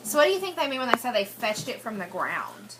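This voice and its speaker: A woman's voice: fairly high pitch, very animated, quick pace, loud music behind the voice. A woman reading a picture book aloud as a teacher, prompting children with questions and checking they follow.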